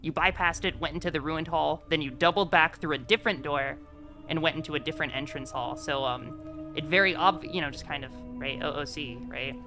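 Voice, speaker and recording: A woman's voice: low-pitched, brisk, over music. A woman casually explaining things as game master of a tabletop game, often checking that players follow. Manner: frequent check-in tags.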